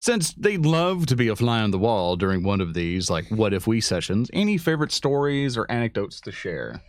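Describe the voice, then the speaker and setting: A man's voice: on the low side, big pitch swings, normal speed, no room sound, clean studio sound. A man hosting a casual livestream interview, reading out audience questions in an informal, joking way and sometimes losing his thread.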